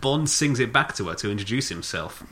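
Male co-host, bright low voice, wry and profane in casual review chat, faint background hiss. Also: lively intonation, fairly quick. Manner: sarcastic, swears, laughs often while recapping. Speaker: podcast co-host.

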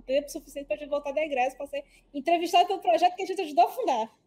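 A young woman, co-hosting a casual podcast chat with quick interjections and comments. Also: high-pitched, warm tone. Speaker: co-host.

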